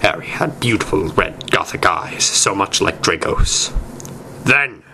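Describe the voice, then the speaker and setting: A man's voice: low-pitched, expressive, unhurried, vocal fry. A man reading fan fiction aloud in a theatrical amateur style, stumbling over words now and then. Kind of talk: dramatic amateur story reading. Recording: noisy amateur recording.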